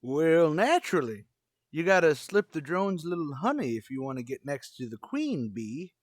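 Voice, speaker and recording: High-voiced, folksy old lovestruck custodian. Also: male voice, sing-song, normal speed, no echo, studio quality. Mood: smitten, confessing. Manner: folksy drawling rustic turns of phrase. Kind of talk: old-time radio comedy acting.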